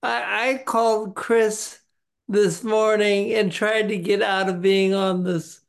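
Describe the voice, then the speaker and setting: A middle-aged man speaking slowly and haltingly over a video call from a home office, with many fillers. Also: high-pitched, flat delivery, gravelly. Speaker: webinar participant. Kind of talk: candid personal sharing. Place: his late father's home office.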